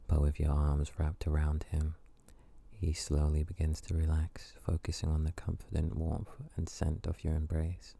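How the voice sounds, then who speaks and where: A man softly narrating an intimate story as an ASMR narrator. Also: very deep voice, creaky, husky.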